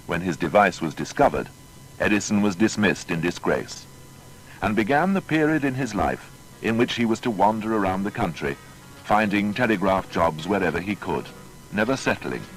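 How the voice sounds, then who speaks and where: A man with a very low voice narrates a biography in formal, measured prose. His delivery is lively in pitch, shaped like dramatic storytelling, with music faintly underneath. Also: poor audio.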